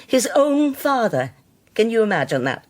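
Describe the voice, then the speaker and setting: An actress playing a grave, devout older Scottish widow in a radio drama, speaking solemnly and haltingly. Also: female voice, on the high side, big pitch swings. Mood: grave confession. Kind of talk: naturalistic radio drama acting.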